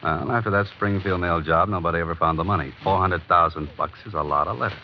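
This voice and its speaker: A cool, tough private eye from vintage radio drama. His voice is deep and his talk slangy and needling. The recording is dull, with faint noise behind it. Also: fast talker. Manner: hard-boiled, slangy, unflustered. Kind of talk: 1940s radio crime drama acting. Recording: muffled, noisy old radio broadcast.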